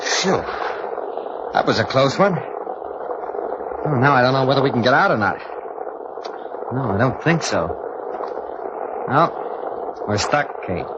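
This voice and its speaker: A man's voice: mid-range voice, expressive, slow pace, pauses often. A man playing a practical, teasing young husband with an American accent, in 1940s radio drama style. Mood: concerned, practical. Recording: muffled, very noisy old radio recording.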